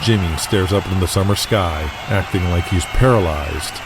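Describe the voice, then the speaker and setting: A man's voice: sits low, normal speed, very noisy. A man narrating an audio drama in a dramatic, scripted, present-tense storytelling style.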